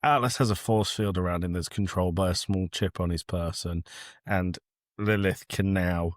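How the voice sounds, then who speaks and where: A man's voice: low voice, steady tone, moderate pace, no room sound, studio quality. A man co-hosting a podcast with casual banter and film commentary, sardonic and emphatic. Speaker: podcast co-host.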